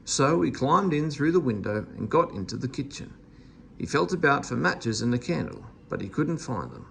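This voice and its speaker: A man's voice: medium pitch, big pitch swings, moderate pace, vocal fry, a bit bright, slight background noise. A man reading a children's storybook aloud as a narrator. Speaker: storybook reader.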